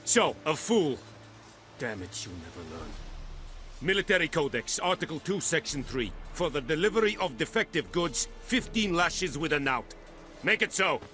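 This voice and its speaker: A man's voice: a little high, very animated, moderate pace, pushed and bright, faint music behind. A man playing a stern imperial military commander in a fantasy game, cold, contemptuous, formal and clipped. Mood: angry, commanding. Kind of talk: video game dramatic acting.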